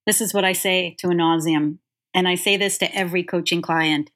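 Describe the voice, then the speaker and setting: A woman's voice: lowish voice, steady tone, fairly quick, a bit bright. A woman whose first language is Yiddish, a leadership coach answering interview questions in an earnest, emphatic way.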